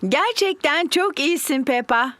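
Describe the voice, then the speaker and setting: A woman voicing a caring, gently teasing mother pig in a children's cartoon, with an encouraging, motherly way of talking. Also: sits high, animated, a little slow, noisy background. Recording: music behind the voice.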